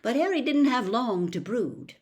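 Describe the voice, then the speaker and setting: A woman narrating a story as an audiobook reader, expressive and dramatic, with emphatic phrasing. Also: a little high, normal speed.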